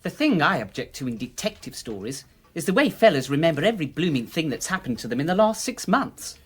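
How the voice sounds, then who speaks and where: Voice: medium pitch, very animated, fast talker, husky. An actor playing a young medical student in a radio drama, casual and offhand. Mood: offhand, recalling. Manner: colloquial, offhand.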